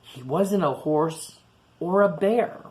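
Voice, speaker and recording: A man reading a picture book aloud to young children, pausing for simple explanations and questions. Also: fairly high pitch, darkish tone. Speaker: storytime reader for young children. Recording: echoey room sound.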